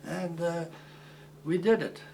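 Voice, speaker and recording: A man in his eighties, originally from Croatia, recalling his past in an oral history interview, speaking haltingly with many fillers and restarts. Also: a little high, gravelly, a little mellow, slight background noise, poor audio. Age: 80s. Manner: plain, matter-of-fact storytelling. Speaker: war veteran interviewee.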